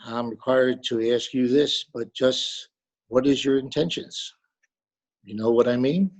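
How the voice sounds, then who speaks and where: A man's voice: mid-range voice, unhurried. A man playing a pompous, salesmanlike lonely hearts broker in old-time radio drama style. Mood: solemn, businesslike. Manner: salesmanlike, florid. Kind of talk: 1940s radio drama acting. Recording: dull, lo-fi remote call audio.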